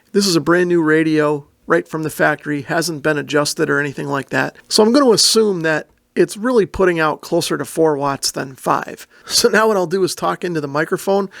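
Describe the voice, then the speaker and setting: A man's voice: high voice, creaky, dry recording, studio quality. A man hosting a casual, methodical product demo, explaining things step by step. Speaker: host of a radio review and demo video. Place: indoor radio shack.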